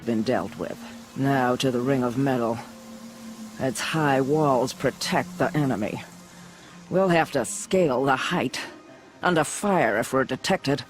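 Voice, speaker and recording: An actor plays a stern, middle-aged war chief in a game, steady and commanding as he lays out a battle plan. His mid-pitched voice carries over a faint music bed. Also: unhurried, lightly acted. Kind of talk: video game cutscene acting.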